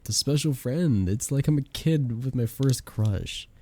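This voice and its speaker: A young man acting a flustered best friend with a hidden crush in an intimate ASMR romance roleplay, soft-spoken, casual and hesitant. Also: medium pitch, expressive, unhurried. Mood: awkward, evasive.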